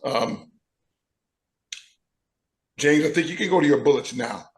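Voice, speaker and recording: Hoarse man chairing an online meeting. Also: fairly high pitch, echoey room. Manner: businesslike, halting restarts. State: losing his voice. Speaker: committee chair. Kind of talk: online meeting, leading votes. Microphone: video call.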